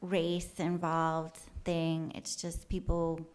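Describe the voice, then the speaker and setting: A woman's voice: low-pitched, monotone, slow, darkish tone, smooth. A woman artist giving a casual, unscripted talk to an audience, rambling and joking in an offhand, colloquial way. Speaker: artist giving a talk about their work. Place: live talk in front of an audience.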